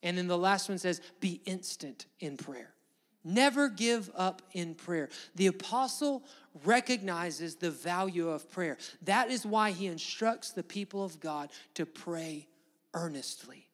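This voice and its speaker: A man's voice: sits high, expressive, measured pace. A male pastor with a Southern Alabama accent preaching a fervent sermon to a congregation, emphatic, repetitive and exhorting. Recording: long hall reverb.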